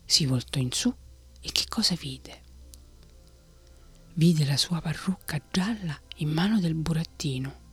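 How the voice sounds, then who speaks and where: Woman, whispered story reading, breathy, slow, low voice, faint music behind. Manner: soft whispering, unhurried.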